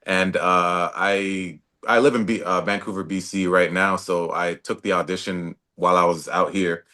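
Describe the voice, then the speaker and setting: Laid-back deep-voiced young man. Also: steady tone, normal speed, bright, forceful tone. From Toronto, Canada. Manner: laid-back, many fillers and restarts. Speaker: actor guest. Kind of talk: casual interview talk.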